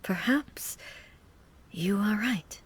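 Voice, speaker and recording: A woman voicing a calm, reserved, quietly kind female ruler in a video game. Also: medium pitch, a little hiss or hum. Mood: gentle, reassuring. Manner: calm, formal, measured.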